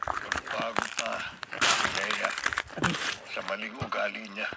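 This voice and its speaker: An actor playing a fair, worried middle-aged father in a radio drama, measured and reproachful, on a noisy recording. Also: on the low side, flat delivery, creaky. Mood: concerned. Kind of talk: Philippine radio drama acting.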